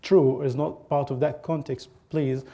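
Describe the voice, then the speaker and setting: A man gives an academic talk at a conference. His voice is mellow and dark, sitting at an ordinary pitch for a man, and his delivery is halting, with frequent pauses and restarts. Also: fairly even intonation, creaky. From Egypt. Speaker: academic presenter. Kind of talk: conference presentation.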